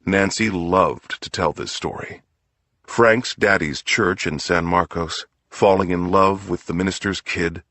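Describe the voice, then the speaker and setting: A man's voice: deep voice, a little slow, fairly bright tone. A man reading a crime story audiobook as a scripted narration.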